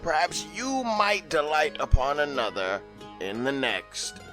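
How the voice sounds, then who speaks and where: A lively, slow-paced male tavern host in an audio drama, his pitch swinging as he spins a tale over guitar music. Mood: storytelling. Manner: theatrical storytelling. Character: Alabaster, a theatrical male tavern host narrator.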